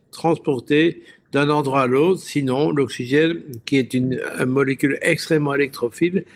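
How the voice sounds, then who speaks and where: A male health expert talks as a radio guest, with a mid-pitched voice. He explains scientific matters in a didactic but conversational way, wandering into asides and hesitating as he looks for the right word. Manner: didactic, digressive, often checking with "ok?".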